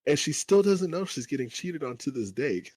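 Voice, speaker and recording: A man's voice: fairly high pitch, big pitch swings, brisk, a little mellow, husky, dry recording. A young man co-hosting a podcast in casual chat, laid-back and teasing, swearing freely. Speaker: podcast co-host.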